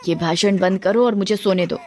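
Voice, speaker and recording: A woman's voice: medium pitch, normal speed, darkish tone, natural voice. A woman voicing a lazy, selfish young wife in a story, dismissive, whiny and snappish. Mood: sleepy annoyance.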